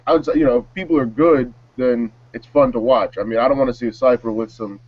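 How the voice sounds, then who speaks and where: A man's voice: mid-range voice, fairly even intonation, fast talker, husky, dry recording. A man chatting informally and slangily as a panelist on a music talk show. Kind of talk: casual chat. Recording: dry, slightly muffled audio.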